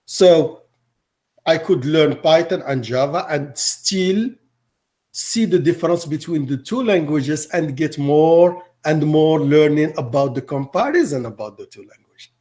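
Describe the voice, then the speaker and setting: A middle-aged man from Morocco, Arabic his first language, speaks in a somewhat high voice. He answers interview questions haltingly, stopping to repeat words and restart his sentences. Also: darkish tone. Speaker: teacher.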